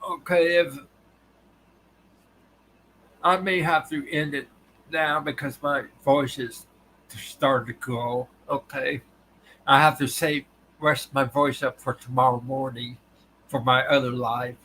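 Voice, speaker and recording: A man hosting a casual livestream, talking haltingly with a tired, dry voice. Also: medium pitch, steady tone, sharp tone, a little hiss or hum, rough recording. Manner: halting, restarts often. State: voice tiring and dry. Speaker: livestream host. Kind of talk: casual live chat with viewers.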